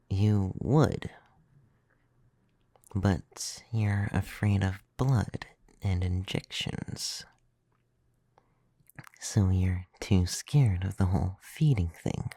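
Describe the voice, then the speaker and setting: A man playing a gentle, clumsy, centuries-old male vampire in an ASMR roleplay, speaking softly and hesitantly, sometimes dropping into a whisper, with teasing intimacy. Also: on the low side, no echo, studio quality. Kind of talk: ASMR roleplay, soft-spoken with whispered stretches. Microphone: close, dry microphone.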